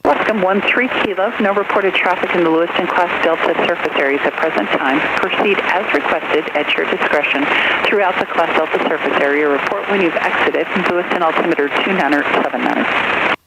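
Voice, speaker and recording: A man's voice: a little high, fairly quick. A man working as an air traffic controller, speaking formal phraseology over aviation radio. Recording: very noisy aircraft radio transmission.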